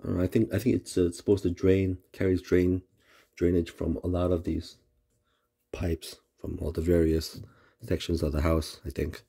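Man, low husky voice, mellow tone, halting casual how-to talk, phone recording in a basement. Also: level tone. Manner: halting, many fillers and restarts, explains while pointing. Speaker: homeowner showing a furnace repair. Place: indoor basement utility room. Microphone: phone camera held close.

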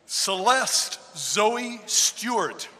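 A man reads names out one by one in a high, lively voice, taking his time over each. His voice carries a long echo through a big arena hall from the podium microphone. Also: a little hiss or hum. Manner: slow, clear announcing of names. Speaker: name reader at graduation. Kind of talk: reading out graduate names. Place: large indoor arena. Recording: long reverb of a large arena.

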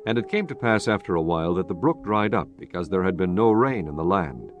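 A man narrating a dramatized Bible from a script in a solemn, measured storytelling manner. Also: sits low, lively intonation, dull audio, soft background music.

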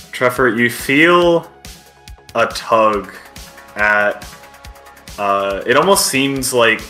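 Slow, halting male game master. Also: fairly low pitch, sharp tone. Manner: halting, descriptive. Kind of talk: improvised tabletop game narration.

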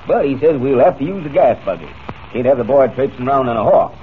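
Male actor as rambling, genial ranch hand, cowboy drawl, mid-pitched, narrow vintage broadcast sound. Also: lively intonation, quick pace, natural voice. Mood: cheerful, folksy. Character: genial folksy old-west ranch hand. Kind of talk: 1940s radio serial acting. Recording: tinny, noisy 1940s radio broadcast.

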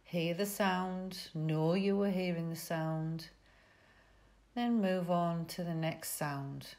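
A woman leads a guided yoga nidra meditation in a fairly low voice. Her delivery is very slow and soothing, with short instructions set apart by pauses. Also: darkish tone.

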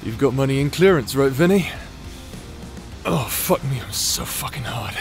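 A man's voice: medium pitch, lively intonation, moderate pace, music in the background, close to an everyday voice. A man acting a cocky, lewd, battle-crazed swordsman in an anime-style audio drama, brash, crude and teasing. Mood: smug, winding down.

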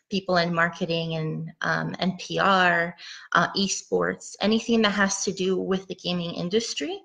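Woman, 30s, from Puerto Rico, talkative presenter on a headset call, flat low voice with a bright, gravelly edge. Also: poor audio. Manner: chatty, rambling with ums. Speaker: guest speaker, game designer. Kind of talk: casual presentation talk. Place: home room with bookshelf. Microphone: headset mic on video call.

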